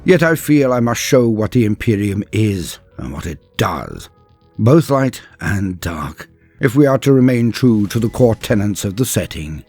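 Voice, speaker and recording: Male host-narrator, animated range, slightly rough voice, grand formal wording, faint music underneath. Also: on the low side, a little slow, dry recording. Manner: formal, theatrical phrasing. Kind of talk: scripted narration.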